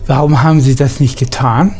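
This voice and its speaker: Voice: mid-range voice, steady tone, a little fast, mellow, faint music behind. A player reading game dialogue aloud, with casual remarks in between.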